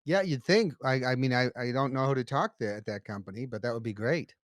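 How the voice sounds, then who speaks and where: Deadpan male comedy podcast co-host, very dry sound. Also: medium pitch, fairly quick, no room sound. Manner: deadpan, mock-earnest.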